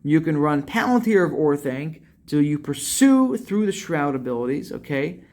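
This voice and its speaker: A young man walks through a strategy game build with a slightly high, lively voice. He leans hard on key words and closes each point with a quick "okay?". He sounds like a webcam recording from an indoor room. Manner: animated, emphatic, ends points with "okay?". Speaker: game guide creator. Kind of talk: gameplay strategy commentary. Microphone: webcam facecam over screen capture.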